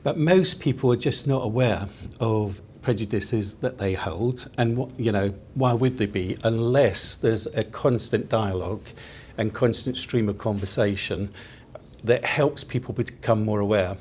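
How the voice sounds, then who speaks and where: A man speaking on a panel discussion in a measured, thoughtful way. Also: fairly low pitch, a little mellow, slight background noise. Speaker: round table panelist.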